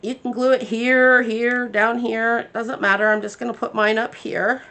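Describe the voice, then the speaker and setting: A woman's voice: fairly high pitch, flat delivery, moderate pace, sharp tone. A woman hosting a craft tutorial, chatting casually and thinking aloud as she works, a bit congested from her sinuses. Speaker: crafting channel host.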